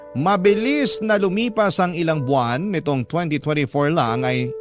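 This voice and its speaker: Higher-voiced male radio storyteller over music. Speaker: radio host and story narrator. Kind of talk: dramatic reading of listener's love letter. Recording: music clearly behind the narration.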